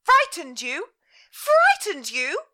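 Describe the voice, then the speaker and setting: A woman voicing a furious middle-aged Victorian mother, scolding in a shrill, near-shouting voice. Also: animated, measured pace, exaggerated voice.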